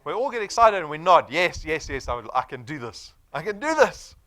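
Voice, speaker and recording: Animated South African man presenting, forceful voice. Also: fairly high pitch, a little fast. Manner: emphatic, persuasive, rhetorical questions and tag "right?". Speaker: sales presenter. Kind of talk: motivational business presentation to an audience.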